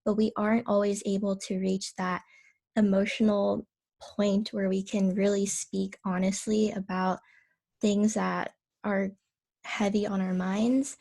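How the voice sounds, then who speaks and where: A woman writer talking on a video call in a soft, thoughtful, measured way about her own piece. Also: medium pitch, level tone, creaky. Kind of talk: reflective personal talk.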